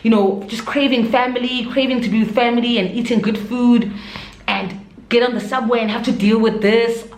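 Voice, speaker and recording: A woman with a South African accent telling stories casually and expressively as a vlogger, dropping in Zulu interjections. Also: on the high side. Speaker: vlogger, expatriate teacher. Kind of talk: casual chat to camera. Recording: echoey room.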